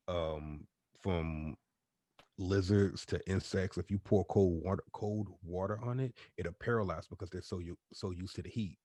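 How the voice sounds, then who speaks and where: A man's voice: darkish tone, dry recording. A man co-hosting a podcast, telling a story in a casual, profane way and often repeating his words. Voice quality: deep voice. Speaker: podcast co-host. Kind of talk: casual storytelling among friends.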